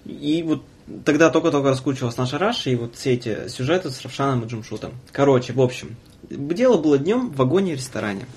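A man's voice: medium pitch, lively intonation, fast talker, darkish tone, slightly rough. A man hosting a casual radio interview show with playful, teasing banter.

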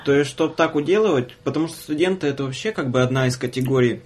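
A man's voice: mid-range voice, fast talker. A young man hosting a podcast, chatting casually with slang and swearing. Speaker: podcast host.

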